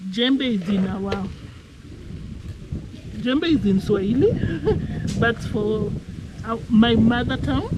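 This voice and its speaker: Woman, fairly high voice, chatty, laughing, outdoor field noise behind. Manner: chatty, explains while working, laughs often. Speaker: village vlogger. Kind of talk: casual walk-and-talk vlog. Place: outdoor farm field in the rain.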